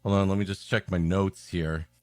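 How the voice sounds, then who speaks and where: A man's voice: sits low, flat delivery, moderate pace, darkish tone, no room sound, faint music behind. A man co-hosting a comedy podcast, riffing in a sarcastic, mock-exasperated way. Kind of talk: joking riffing on a story being read.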